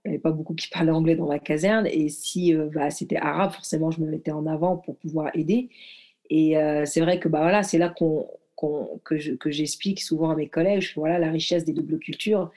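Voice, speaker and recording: Guest, woman in her thirties, from Morocco; relaxed, halting storyteller with a dark, soft tone. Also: low-pitched. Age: 30s. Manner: reflective, halting, lots of fillers and restarts. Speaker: guest athlete and former firefighter. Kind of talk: casual conversational storytelling.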